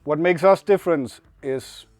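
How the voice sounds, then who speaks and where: Dry-sounding Danish man with a high voice. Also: measured pace, a little mellow, dry recording. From Denmark. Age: 30s. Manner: short, plain remarks. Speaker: motorcycle shop co-owner. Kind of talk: casual chat. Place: motorcycle workshop showroom.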